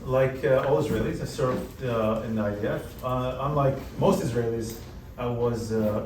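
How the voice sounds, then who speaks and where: A man who grew up in the United States, speaking on a panel in a relaxed, conversational way with lots of "you know" fillers. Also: mid-range voice. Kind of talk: panel discussion. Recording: roomy hall, distant mic, background noise.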